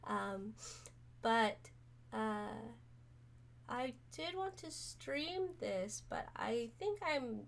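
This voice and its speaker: A woman streamer chatting casually to camera, hoarse from being sick, rambling with many pauses and fillers. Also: on the high side, very animated, a little hiss or hum. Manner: rambling, many ums, soft-spoken. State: hoarse, recovering from illness.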